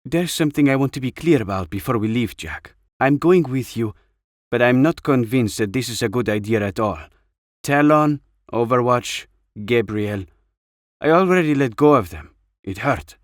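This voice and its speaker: A man's voice: medium pitch, animated, moderate pace, no echo, clean studio sound, voice pushed up, natural voice. A man voicing an older woman, a wry and protective veteran sniper, for a storybook narration. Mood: weary, firm.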